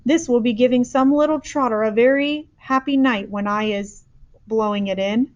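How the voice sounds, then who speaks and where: A woman's voice: a little high, moderate pace, close to an everyday voice. A woman voicing a big, friendly old male giant who mangles his grammar and invents words, in a dull lo-fi recording. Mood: delighted.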